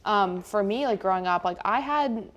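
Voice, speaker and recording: A woman's voice: mid-range voice, animated, moderate pace, muffled recording. A young American woman chatting casually and informally as a host, often dropping "like" into her sentences. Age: young adult. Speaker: local TV station chat host. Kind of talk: casual unscripted chat.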